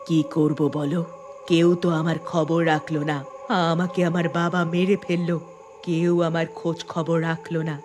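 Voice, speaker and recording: A woman voicing the grim, vengeful ghost of a murdered village girl in an animated horror story, speaking slowly and plaintively. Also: low-pitched, music in the background, natural voice. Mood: mournful, vengeful.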